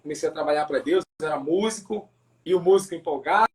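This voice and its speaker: A man from Pará with a northern Brazilian accent telling his personal testimony as a pastor in a casual interview, haltingly, repeating words and adding tag questions. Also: a little high. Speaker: pastor, podcast guest.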